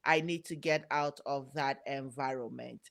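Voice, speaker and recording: A woman who immigrated to Canada, hosting a podcast interview, halting and restarting as she talks. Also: very deep voice, gravelly. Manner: slow, halting, stammers on restarts. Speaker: podcast host. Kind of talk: interview conversation.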